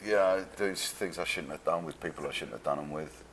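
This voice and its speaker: A man with a London accent and an extremely low voice that crackles with gravel. He tells his stories in a loose, halting, streetwise way and swears freely, like an interview guest chatting casually. Some noise sits behind him. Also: fairly bright tone. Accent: London English. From London, England.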